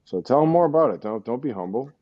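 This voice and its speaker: A man's voice: mid-range voice, animated, fast, dull audio. A man joining a casual podcast chat as a guest, speaking enthusiastically and urging his friend to speak up.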